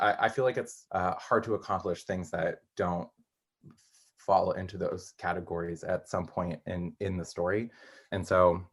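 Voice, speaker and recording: Low-voiced man giving hesitant interview answers. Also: fairly bright tone. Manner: hesitant, rambling, thinking aloud. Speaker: interviewed playwright.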